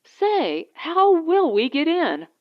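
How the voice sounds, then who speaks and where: A woman's voice: very high voice, huge pitch swings, slow pace, dull audio, voice pushed up, full character voice. A woman voicing an anxious but plucky young wife in a space adventure audiobook, questioning and vulnerable.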